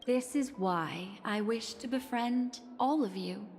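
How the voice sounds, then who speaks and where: An actress playing a poised, enigmatic, alluring collector of memories in a video game, speaking in measured, theatrical, cryptic phrases. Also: female voice, a little high, a little mellow. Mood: silky, inviting. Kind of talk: scripted game dialogue. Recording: long reverb, clear music behind.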